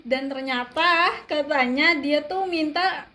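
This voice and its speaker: A woman's voice: high voice, slow, pushed and bright. A young woman chats informally as a student radio co-host, speaking straight to listeners and repeating her phrases. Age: young adult. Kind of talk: casual chat. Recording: clear background noise, room ambience.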